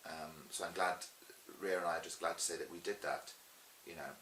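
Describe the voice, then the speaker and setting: A man's voice: low voice, steady tone, moderate pace, a bit bright. A middle-aged man telling anecdotes in an interview as a pantomime performer, chatty, theatrical and emphatic. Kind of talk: animated anecdotal interview talk. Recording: roomy echo with faint hiss.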